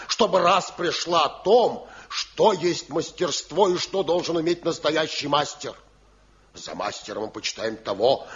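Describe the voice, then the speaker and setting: An actor playing a principled, authoritative guild elder, speaking in an oratorical Soviet radio drama manner. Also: male voice, mid-range voice, a bit bright. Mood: commanding. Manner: commanding, measured, oratorical. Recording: dull lo-fi 1970 radio recording.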